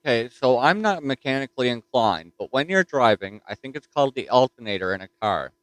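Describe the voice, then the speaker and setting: A man's voice: mid-range voice, expressive, a little fast, fairly bright tone, no room sound, low-quality recording. A man with a Newfoundland accent chatting casually on a ham radio repeater from his home desk mic, folksy and full of fillers. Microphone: desktop mic.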